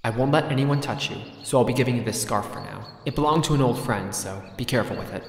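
A man playing a calm, protective creature fighter in a comic dub, composed and reassuring. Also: medium pitch, fast talker, big-room sound, slight background noise, natural voice.

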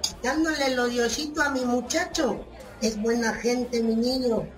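Woman acting high-voiced forgetful Mexican granny. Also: steady tone, unhurried, close to an everyday voice, rough recording. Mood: grumbling fondly. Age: older. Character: forgetful, doting old grandmother with loose dentures. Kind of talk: radio soap opera acting.

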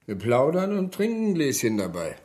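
Older male villager, radio crime play. Husky voice, nosy, chummy and insinuating gossip. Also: medium pitch, wildly swooping pitch, a little mellow. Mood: gossipy, indignant. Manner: conspiratorial, insinuating, drawn-out hints. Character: nosy, gossipy old village man, sly busybody. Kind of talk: German radio crime drama acting.

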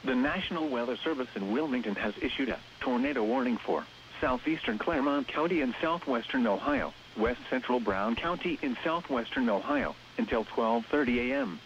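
A man's voice: mid-range voice, lively intonation, highs cut off. Synthetic male announcer on hissy weather radio. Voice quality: synthetic text-to-speech sound. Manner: measured, impersonal, clipped reading. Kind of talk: scripted emergency weather warning announcement.

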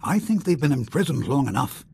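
A man's voice: mid-range voice, very animated, brisk, creaky, a little mellow, a little hiss or hum. A man playing a dignified, earnest middle-aged father and senator in a video game, formal and reassuring.

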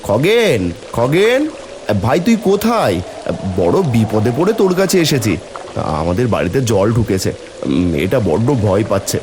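A humble cartoon villager played by a man, kind and earnest, with a mid-pitched, very lively voice. His plaintive speech sits over clear background music. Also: normal speed, natural voice. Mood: worried, pleading. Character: poor, pleading village husband and father, kindly. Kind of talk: cartoon fairy-tale voice acting.

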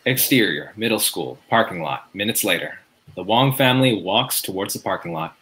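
A man's voice: lowish voice, expressive, moderate pace, fairly bright tone, slight background noise, poor audio. A man reading scripted stage directions as narrator at a table read.

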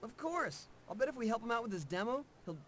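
A man's voice: very high-pitched, big pitch swings, quick pace, fairly bright tone, a little hiss or hum, full character voice. A man with an American accent playing an upbeat, casual, take-charge pro skateboarder in a video game. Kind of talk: video game cutscene acting.

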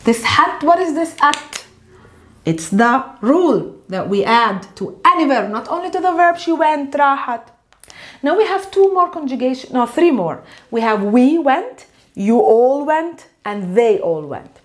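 A high-voiced woman in her thirties, a native Arabic speaker, teaches at a whiteboard. She is animated and emphatic, and she slows down to repeat key words. She is recorded close on a lapel mic in a bright room. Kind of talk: whiteboard language lesson.

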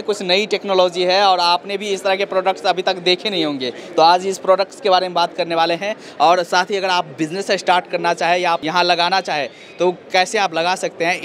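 A man's voice: sits high, fairly even intonation, fairly quick, hardly any pauses, a bit bright. A young man with an Indian accent hosting an interview into a handheld microphone at a trade expo. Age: young adult. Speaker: video host, interviewer. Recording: clear background noise, busy hall. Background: exhibition hall ambience.

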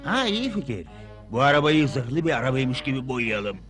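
A man playing a gruff, greedy robber's henchman in a cartoon dub, with a surly manner. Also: medium pitch, big pitch swings, normal speed, lightly acted. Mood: scheming. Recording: music behind the voice.

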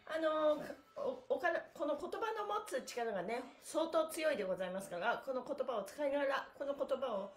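A middle-aged woman teaches stretching on a casual livestream. Her voice is on the high side and a little hoarse, with lively swings in pitch. She is chatty, cheerful and very polite, heard from a little distance in an echoey room. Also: fairly quick. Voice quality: slightly hoarse. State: hoarse the morning after a performance and party. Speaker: stretching instructor and livestream host. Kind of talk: casual live exercise lesson with counted chants. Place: small bare-walled indoor room. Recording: roomy echo from camera distance.

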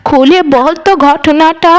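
Woman acting a high-voiced, curious old woman. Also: measured pace. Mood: curious, concerned.

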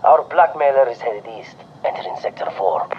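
An actor playing a sly, watchful surveillance spy in a video game, speaking with dramatic, wry phrasing over radio. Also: male voice, mid-range voice, animated, normal speed. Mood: sly, focused. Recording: muffled, noisy radio transmission.

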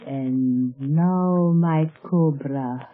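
A woman playing a serene, sinister villainess in an old radio drama, formal, deliberate and silkily menacing. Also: low-pitched, heavily acted. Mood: quietly menacing. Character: exotic villainess, serene, sinister snake charmer. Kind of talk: 1930s radio drama acting. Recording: tinny 1930s radio broadcast.

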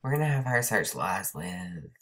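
A woman's voice: a bass voice, sing-song, moderate pace, fairly bright tone. A young woman hosting a let's play, chatting casually over gameplay. She has a cold and sounds stuffed up, and she rambles with lots of "like" and "um". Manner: chatty, rambling, says "like" and "um" often.

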